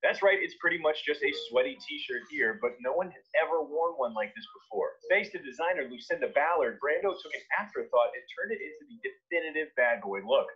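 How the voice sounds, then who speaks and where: A man's voice: a little high, lively intonation, brisk, few pauses, breathy edge, highs cut off. An American man narrating a scripted countdown video in a punchy, opinionated, irreverent manner.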